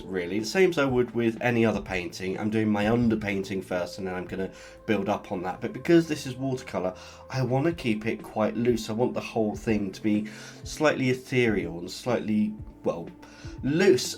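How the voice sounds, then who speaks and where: A man with a stuffy cold, chatting casually as he talks through a painting. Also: fairly low pitch. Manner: relaxed, chatty, explains as he paints. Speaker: art channel host. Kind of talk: casual art tutorial narration.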